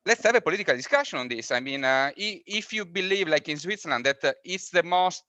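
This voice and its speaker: A man whose first language is not English, speaking on a webinar panel with halting, repetitive phrasing. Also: a little high, animated, bright, forceful tone, no echo. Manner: halting, repeats words, non-native phrasing. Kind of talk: online panel discussion. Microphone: video call.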